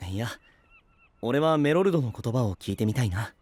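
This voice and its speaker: An actor playing a gentle, encouraging and thoughtful young man, speaking softly and in a measured way in an anime audio drama. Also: mid-range voice, animated, dry recording, lightly acted.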